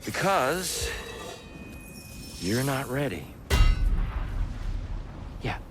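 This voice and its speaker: A male actor playing a calm, wise old warrior god in a video game, speaking in a measured, philosophical and reassuring way. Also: medium pitch, music in the background. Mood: challenging. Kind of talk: dramatic video game acting.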